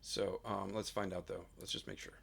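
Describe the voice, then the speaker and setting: A man recording a casual vlog in his home studio, talking into a studio mic with a big, loud voice and rambling with lots of fillers. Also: low-pitched, steady tone, moderate pace, creaky. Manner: rambling, self-deprecating, full of fillers. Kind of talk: casual vlog talk between sung takes. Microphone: studio dynamic mic.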